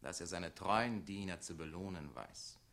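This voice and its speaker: Forceful, officious young secretary. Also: male voice, low voice, lively intonation, normal speed, creaky, exaggerated voice. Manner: formal, clipped, official. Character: dutiful, cool young male secretary to a dragon tyrant. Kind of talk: German radio play acting.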